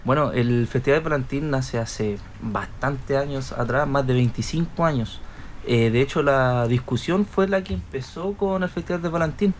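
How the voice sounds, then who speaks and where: Mid-pitched man as radio guest. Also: normal speed. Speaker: municipal youth office worker, guest. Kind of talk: conversational radio interview. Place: radio studio. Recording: faint background noise.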